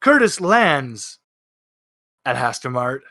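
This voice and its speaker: A man narrating and improvising as game master of a tabletop game, animated and swearing freely. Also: mid-range voice, takes it slowly, no room sound, studio quality.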